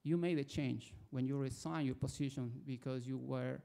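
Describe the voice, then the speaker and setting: A man's voice: mid-range voice, fairly even intonation, moderate pace, creaky, mellow. A man in his forties from Ecuador with an Ecuadorian accent, telling a personal story from the floor before asking his question. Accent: Ecuadorian Spanish. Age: 40s. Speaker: audience member asking a question. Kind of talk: prepared speech from the floor.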